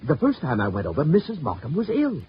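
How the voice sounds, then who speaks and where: A man's voice: on the high side, huge pitch swings, fast. An actor playing a hesitant, gossipy insurance agent in a 1950s radio drama, musing as he talks. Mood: musing, puzzled. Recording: dull, noisy old radio recording.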